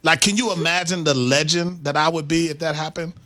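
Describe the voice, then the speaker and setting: A man's voice: on the high side, fairly even intonation, brisk, bright, forceful tone. A man from the Midwest co-hosting a sports radio show, rambling and playful and laughing easily. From Midwest United States. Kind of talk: casual sports radio banter.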